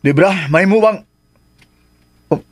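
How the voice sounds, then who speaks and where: A man's voice: sits high, slow, husky, exaggerated voice. An actor playing a cornered man pressured by his lover, exasperated and pleading in radio drama style.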